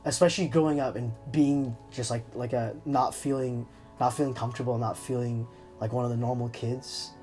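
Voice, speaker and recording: A man's voice: mid-range voice, steady tone, fairly quick, a little mellow, soft background music. A young man speaking candidly and reflectively in an interview, often hesitating as he searches for his words. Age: young adult. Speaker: interview subject.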